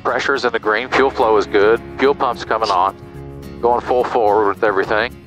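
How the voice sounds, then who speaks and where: A man's voice: on the low side, steady tone, normal speed, fairly bright tone, faint music behind, rough recording. A middle-aged American man speaking casually as a pilot and vlogger into a headset mic in a small airplane cockpit. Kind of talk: casual cockpit vlog and radio calls. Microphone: aviation headset boom mic.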